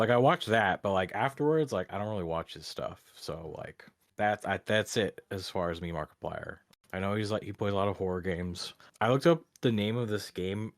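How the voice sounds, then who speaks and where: Deep-voiced young guy rambling casually. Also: no echo, studio quality. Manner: rambling, heavy on "like" fillers. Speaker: podcast co-host. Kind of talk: casual group reaction chat.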